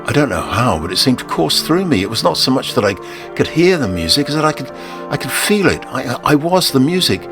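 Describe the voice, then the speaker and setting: A man giving a dramatic, wry first-person narration of a short story, expressive and theatrical. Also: mid-range voice, fairly quick, music in the background.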